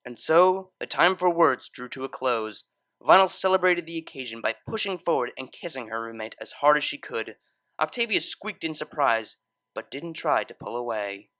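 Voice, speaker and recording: Expressive, bright-voiced male story narrator. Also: medium pitch, normal speed, dry recording. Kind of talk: expressive scripted fan fiction narration.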